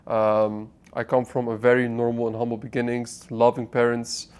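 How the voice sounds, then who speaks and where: A man's voice: mid-range voice, completely flat delivery, normal speed, darkish tone, clear, resonant tone. A man in his twenties from the Netherlands giving an unscripted motivational talk to camera, blunt and swearing, often restarting his sentences as he speaks straight to the viewer. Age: 20s. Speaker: online business vlogger. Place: apartment.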